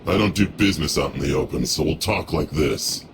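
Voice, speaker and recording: A man's voice: rumbling low, animated, brisk, heavy background noise. A man voicing a secretive, wary debt collector in a video game, curt and businesslike. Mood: guarded.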